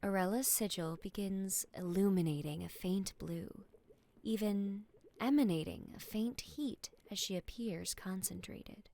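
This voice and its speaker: Mid-pitched woman narrating fantasy. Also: a little slow, vocal fry, warm tone. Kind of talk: scripted fantasy audio drama narration.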